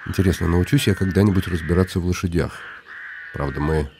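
A man's voice: sits low, fairly even intonation, brisk, background noise. A man playing a weary, ironic nobleman in reflective inner monologue for a radio play. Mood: musing. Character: weary, ironic, lonely nobleman, brooding inwardly. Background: music.

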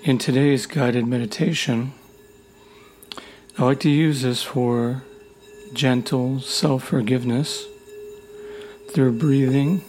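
A man leading a guided meditation in a soothing, deliberate way, leaving long pauses between short phrases. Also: mid-range voice, fairly even intonation. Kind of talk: guided meditation, gentle instruction. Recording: loud humming meditation tones throughout. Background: gentle music with sine tone and singing bowls.

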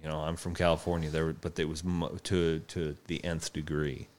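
A man's voice: a bass voice, little pitch movement, a little mellow. A young man, a horse trainer and veteran, telling his story as an interview guest with plenty of fillers and restarts. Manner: halting, with many fillers and restarts. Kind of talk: casual conversational storytelling.

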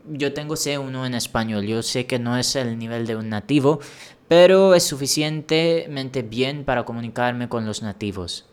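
A man from India hosting a language-learning podcast, giving advice in a measured, encouraging solo talk with a few small restarts. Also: medium pitch, clear, resonant tone.

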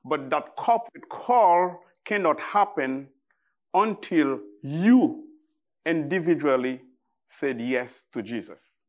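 A man with a Haitian accent preaching as a guest in church, speaking in short, deliberate phrases with halting pauses. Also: a little high, animated. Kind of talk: sermon to a church congregation.

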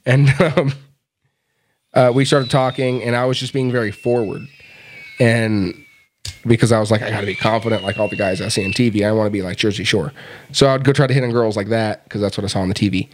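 A man's voice: on the low side, fairly even intonation, fast talker, slight background noise. Crude, laughing young man telling a story. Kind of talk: casual storytelling chat.

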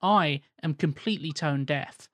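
A man's voice: a little high, unhurried, no room sound. A man co-hosting a podcast, speaking hesitantly with many restarts in casual chat. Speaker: podcast co-host.

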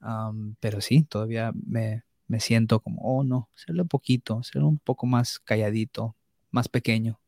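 A man's voice: fairly low pitch, steady tone, normal speed, creaky, dark tone, no room sound. Candid man, dry sound. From United States. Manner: candid, reflective, hesitant with many restarts and mixed English words. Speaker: podcast co-host. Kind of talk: casual podcast conversation.

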